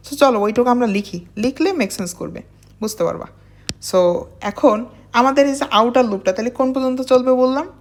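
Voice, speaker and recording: High-pitched woman tutor. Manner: checks in often with rhetorical questions; mixes English technical terms into speech. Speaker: programming instructor. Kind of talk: informal step-by-step tutorial explanation.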